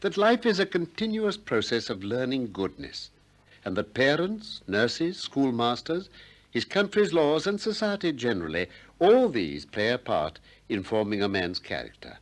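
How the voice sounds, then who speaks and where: An actor playing a shrewd, probing Athenian philosopher in a 1960s radio drama, deliberate and courteous with polite irony. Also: male voice, mid-range voice, big pitch swings, natural voice. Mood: measured narration. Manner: deliberate, politely ironic, questioning.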